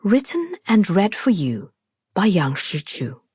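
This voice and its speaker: A woman reading a novel aloud as a scripted literary narration, in a measured, deliberate, expressive storytelling manner. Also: mid-range voice, slightly rough, no echo, clean studio sound. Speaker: author narrating her own audiobook.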